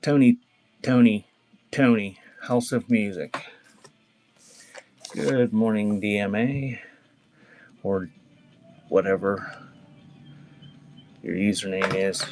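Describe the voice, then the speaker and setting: Male livestream seller, lowish voice, chatty and rambling, informal item call-outs, slight background noise. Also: takes it slowly, pauses often, darkish tone. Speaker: live-stream auction seller. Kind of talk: casual live selling chat, reading out cassette titles. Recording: clearly noisy background with clicks.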